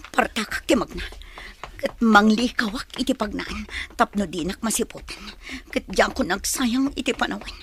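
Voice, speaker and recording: A woman's voice: medium pitch, moderate pace, fairly bright tone, slight background noise, lightly acted. A woman playing a bitter, resolute, wronged mother in a radio drama, cold and defiant in manner.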